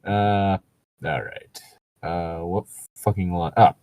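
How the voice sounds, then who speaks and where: A man's voice: sits low, fairly even intonation, takes it slowly, a little mellow. A man doing casual comedic commentary as a co-host reading fan fiction aloud, sweary, mocking and exasperated. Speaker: podcast co-host.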